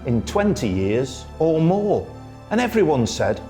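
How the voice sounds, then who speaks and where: A man reciting a children's poem as a storyteller, in a theatrical, singsong way with drawn-out phrasing. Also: a little high, big pitch swings, warm tone, soft background music. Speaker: storyteller, radio presenter. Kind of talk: expressive children's poetry recitation.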